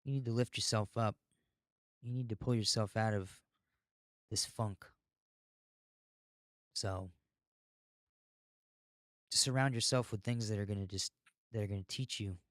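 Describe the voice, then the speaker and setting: A man's voice: lowish voice, steady tone, unhurried, long pauses, a bit bright, no room sound, studio quality. A man hosting a podcast in a casual, rambling monologue, informal and given to ranting and swearing. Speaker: podcast host.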